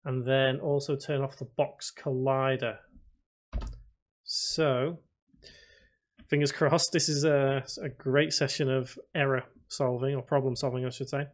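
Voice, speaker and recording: A man giving a casual software tutorial, thinking aloud step by step and talking informally to his viewers. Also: medium pitch, little pitch movement, unhurried. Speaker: video tutorial presenter. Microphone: voice-over recorded at a computer.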